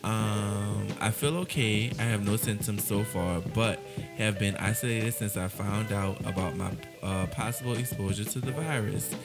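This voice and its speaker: A man's voice: lowish voice, moderate pace, creaky, over music. A man co-hosting a casual gossip podcast, reading celebrity news aloud with laughing asides. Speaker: podcast co-host.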